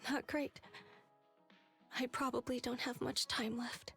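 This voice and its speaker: Grave, high-voiced sickly girl. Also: little pitch movement, a little slow, fairly bright tone, soft background music. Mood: resigned, determined. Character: sickly, resigned, selfless young girl.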